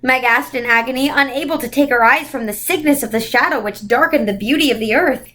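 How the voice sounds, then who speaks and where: High-voiced woman reading a children's story. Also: a little fast, a bit bright. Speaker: story reader. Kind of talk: expressive read-aloud narration for children.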